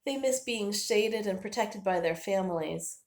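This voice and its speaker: A woman's voice: medium pitch, unhurried, a little mellow, lightly acted. A woman voicing a kindly, protective man in a children's storybook, patiently explaining and reassuring. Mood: gently explaining. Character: kindly man, gentle, protective nature guide to a squirrel. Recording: roomy, echoing indoor sound.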